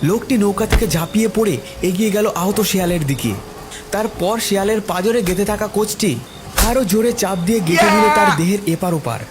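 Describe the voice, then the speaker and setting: A man narrates a cartoon-style story in the first person, with dramatic delivery. Loud music plays behind his voice. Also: sits high.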